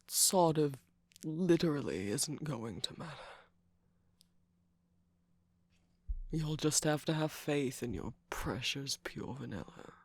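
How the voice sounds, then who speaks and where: A man voicing a smug, teasing villain's henchman in a romantic ASMR roleplay, breathy and gravelly, drawling and dropping into whispers close to the mic. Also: on the high side, lively intonation, normal speed, no room sound. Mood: resigned, smug. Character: teasing, jaded, smug male villain's minion cookie. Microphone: close microphone, dry sound.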